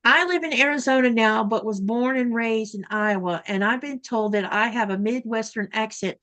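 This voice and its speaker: A woman's voice: on the high side, normal speed, fairly bright tone, poor audio. A woman from Florida co-hosting a casual sewing livestream over a video call, chatting and reading out viewer comments. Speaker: livestream co-host. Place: home in Greenland.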